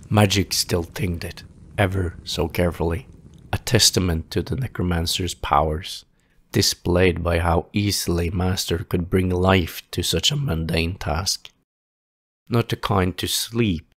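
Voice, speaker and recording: A man narrating a fantasy story as a scripted audio play, with a solemn, measured, literary storytelling delivery. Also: low-pitched. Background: music.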